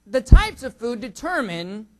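A high-voiced younger man preaching from the pulpit. He teases himself and the room with food jokes, then leans hard on key words in sudden loud bursts, and slips into a prayer. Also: very animated, a little slow. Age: under 45. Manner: jokey, self-teasing, surging loud emphasis. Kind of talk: sermon with prayer.

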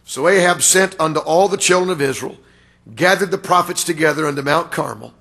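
A man preaching a revival sermon with a raspy voice, building to loud, emphatic, drawn-out delivery. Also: fairly high pitch. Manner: rises to loud emphatic preaching, stretched words. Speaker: guest preacher.

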